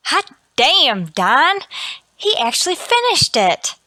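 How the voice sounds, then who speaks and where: A woman's voice: sits high, huge pitch swings, takes it slowly, pushed and bright, no echo, heavily acted. A woman playing a brash, wisecracking friend in an old-time radio mystery. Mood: amazed.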